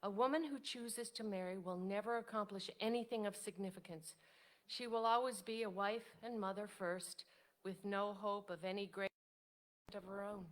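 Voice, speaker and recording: An actress playing a modest, wistful, devoted widow in a staged reading of a period drama, with genteel, articulate phrasing. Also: female voice, medium pitch, fairly even intonation, normal speed, close to an everyday voice. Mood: self-deprecating. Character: elderly devoted widow writer, modest, wistful, self-doubting.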